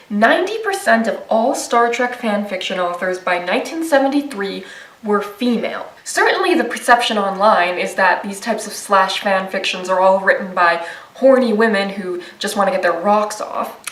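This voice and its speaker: A woman's voice: mid-range voice, distant mic. Wry woman narrating in an echoey room. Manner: wry, conversational asides. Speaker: video essayist. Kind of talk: scripted video-essay narration.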